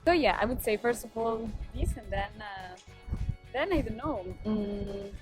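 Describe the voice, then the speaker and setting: A woman's voice: medium pitch, animated, unhurried. A young woman in her twenties with an Italian accent, answering questions in a casual street interview and searching for her words as she thinks. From Italy. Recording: music over the voice.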